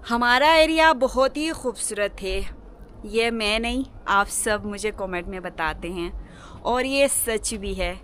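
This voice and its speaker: गिलगित-बल्तिस्तान की एक महिला व्लॉगर, गला बैठा हुआ, नरमी से बात करती है और बार-बार "जी" बोलती है।. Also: female voice, mid-range voice, slight background noise. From Gilgit-Baltistan, Pakistan. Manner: soft-spoken, fills with polite "ji". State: hoarse, sore throat. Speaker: village vlogger. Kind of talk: casual vlog narration. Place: home village, partly outdoors on rooftop and in garden.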